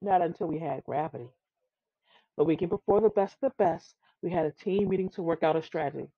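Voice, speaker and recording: A woman's voice: on the low side, brisk, no room sound, muffled recording. A woman reading a children's tall tale aloud, expressively and with some stumbles over the words. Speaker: storybook reader.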